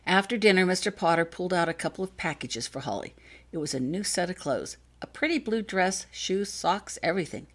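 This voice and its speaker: A woman reading her own story aloud as an expressive scripted narration. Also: fairly low pitch, brisk. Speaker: author reading own fan fiction.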